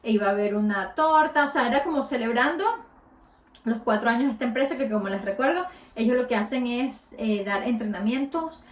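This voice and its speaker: A woman's voice: fairly high pitch, lively intonation, fairly quick, echoey room. A Latin American woman with a Spanish accent, a podcast host telling a personal story in a conversational way, with frequent hesitations.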